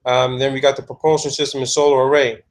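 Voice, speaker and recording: A man's voice: medium pitch, level tone, quick pace. A man calling to ask questions, stammering and eager as he talks. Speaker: caller. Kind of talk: phone conversation.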